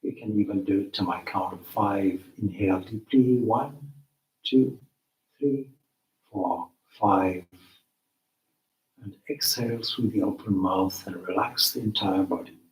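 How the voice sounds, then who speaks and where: A man leading a guided meditation as a teacher, with measured, deliberate phrasing and long pauses. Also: on the low side. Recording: roomy, echoing space.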